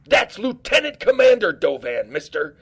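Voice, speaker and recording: A man's voice: high-pitched, big pitch swings, moderate pace, breathy edge, exaggerated voice. An actor playing a determined male Starfleet acting captain, with firm, clipped officer's speech. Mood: commanding. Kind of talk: fan audio drama acting.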